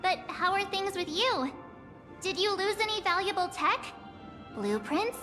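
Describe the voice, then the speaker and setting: A woman's voice: very high-pitched, animated, unhurried, bright, forceful tone, full character voice. An actress voicing a girlish, chatty companion in a video game, speaking informally. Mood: curious. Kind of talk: scripted video game dialogue. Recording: long reverb, music underneath.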